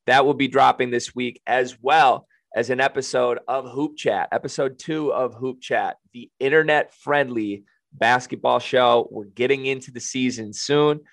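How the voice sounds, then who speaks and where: A man co-hosting a casual podcast, upbeat and joking, with a raspy voice. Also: mid-range voice, steady tone, moderate pace, no room sound. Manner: upbeat, joking, plugs upcoming episodes. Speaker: podcast co-host.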